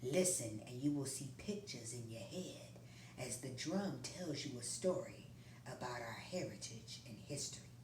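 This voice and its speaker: A woman voicing a gentle, wise old grandfather in a read-aloud storybook, speaking softly. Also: a bass voice, expressive, measured pace. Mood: hushed. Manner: soft and gentle. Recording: roomy, distant, faint background noise.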